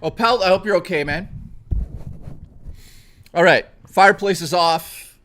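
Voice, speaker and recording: A young man streaming live, chatting casually and excitably with viewers and swearing often. He is hoarse and losing his voice. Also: high voice, a little slow, faint music behind.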